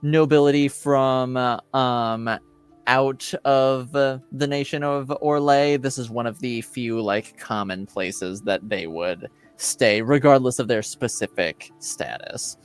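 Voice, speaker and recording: A man narrating a tabletop roleplaying game as game master, casual and halting as he searches for words. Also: medium pitch, clean tone, faint music behind.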